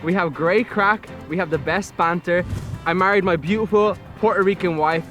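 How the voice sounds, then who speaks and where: A young Irish man introduces himself as a reality show contestant, his voice pitched high and full of cheeky bravado. Faint music runs underneath him. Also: moderate pace, bright, forceful tone. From Ireland. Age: 20s. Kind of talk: cast introduction interview.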